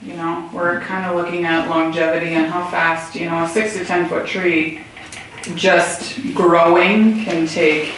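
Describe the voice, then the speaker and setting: A woman's voice: on the low side, little pitch movement, a little slow, a bit bright. An American woman talking informally at a meeting, answering questions. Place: indoor meeting room, far mic. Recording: very echoey room, distant mic, faint noise.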